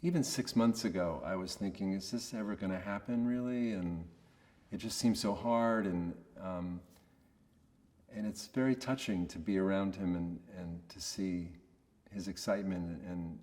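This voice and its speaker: A man giving a casual, reflective interview in a monotonous voice, hesitant and understated as he searches for words. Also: low voice, moderate pace, big-room sound. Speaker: voice actor being interviewed.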